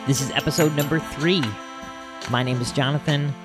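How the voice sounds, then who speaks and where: A man's voice: on the high side, steady tone, moderate pace, music in the background. A man hosting a podcast, giving a casual introduction to an episode. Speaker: podcast host.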